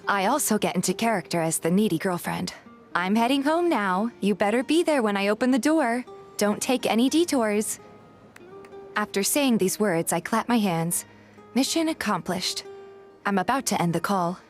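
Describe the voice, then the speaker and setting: A woman's voice: medium pitch, animated, normal speed. Woman narrating a story over music. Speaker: narrator and voice actor of a fan dub. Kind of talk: scripted first-person story narration and reading.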